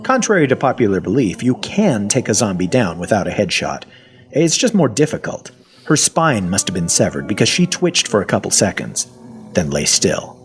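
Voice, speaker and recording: A man narrating a first-person story in a conversational, wry way, with dramatic pauses. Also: fairly low pitch, very animated. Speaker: narrator of an audio fiction story.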